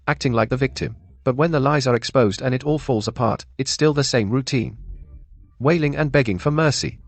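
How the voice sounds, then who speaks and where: A man's voice: medium pitch, no room sound, close to an everyday voice. A man playing a cynical, disapproving onlooker in a dubbed radio drama, speaking judgmentally.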